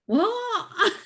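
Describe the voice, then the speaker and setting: A woman co-hosting a podcast, chatting casually, sarcastic and quick to laugh out loud. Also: very high-pitched. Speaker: podcast co-host. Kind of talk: casual chat.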